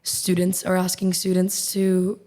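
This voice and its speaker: A woman's voice: lowish voice, measured pace. A young woman from the United States chatting casually as a podcast guest, with "like" and "um" as fillers. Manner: relaxed, uses "like" and "um". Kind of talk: casual discussion.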